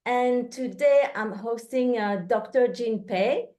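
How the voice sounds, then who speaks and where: A woman hosting an interview and introducing her guest, halting with many restarts. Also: on the high side, mellow. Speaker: host, newsletter editor.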